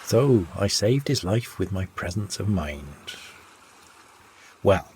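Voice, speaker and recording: Male audiobook narrator for old seafaring tales, lowish voice, very varied pitch, old-fashioned raconteur delivery. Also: lively intonation, takes it slowly, a little mellow, slight background noise. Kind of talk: scripted storytelling, old sea yarn read aloud.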